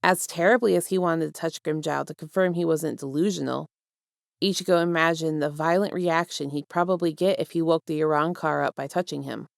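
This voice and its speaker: A woman reading fiction aloud as an expressive, scripted narration with measured, deliberate phrasing. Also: deep voice, creaky, no echo, clean studio sound. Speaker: fan fiction reader.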